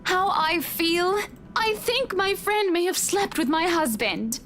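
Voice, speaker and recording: High-voiced, articulate wife. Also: female voice, big pitch swings, slow pace, a bit bright, slight background noise, full character voice. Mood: hesitant, bitter. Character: upset, outspoken married woman with sophisticated air. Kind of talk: visual novel game acting. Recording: music behind the voice. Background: music.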